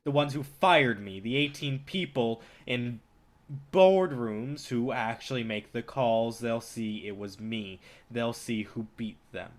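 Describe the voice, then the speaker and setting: A man's voice: medium pitch, slow, lightly acted. Hard-nosed movie boss, male, read by an actor on stage: mid-range, vengeful, scornful, lecturing. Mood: bitter, scornful. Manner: profane, belittling, driven. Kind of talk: staged reading of a play.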